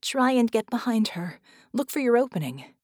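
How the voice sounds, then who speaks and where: A woman's voice: fairly high pitch, big pitch swings, moderate pace, darkish tone, no room sound, pitched above the performer's own voice. Brief: narrator playing battle-hurt heroine, female, sure of herself, firm and urgent, raised above narration, dry audiobook sound. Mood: strained urgency. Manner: urgent, firm, through clenched teeth. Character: tough, self-assured injured female fighter.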